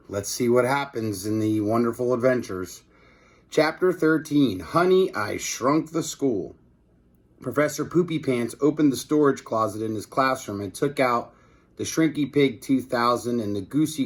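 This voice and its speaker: A man reading a children's book aloud like a teacher, with casual asides to his listeners. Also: mid-range voice.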